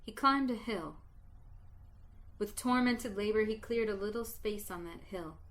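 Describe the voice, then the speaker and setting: Woman narrator, storybook reading, unhurried delivery, bright tone. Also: medium pitch. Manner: slow, expressive, building to exultant emotion.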